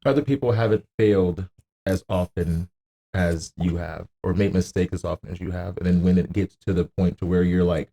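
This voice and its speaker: A man's voice: low-pitched, steady tone, moderate pace, gravelly, dark tone. A man as a podcast guest, chatting casually and bluntly, swearing and acting out what people say. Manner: blunt, sweary, acts out quoted remarks.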